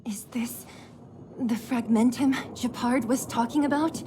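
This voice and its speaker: A blunt, streetwise young woman from a video game, played by an actress. Her voice is high, tough and watchful. Music is clearly heard behind it. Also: unhurried, lightly acted. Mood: uneasy. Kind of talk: English game voice acting, cutscene dialogue.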